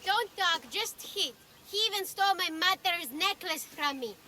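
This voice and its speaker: Scrappy high-pitched street child. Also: female voice, lively intonation, normal speed, bright, forceful tone, full character voice. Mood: defiant, blunt. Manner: blunt, pleading, streetwise. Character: scrappy, cheeky young street urchin child. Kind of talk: video game character acting.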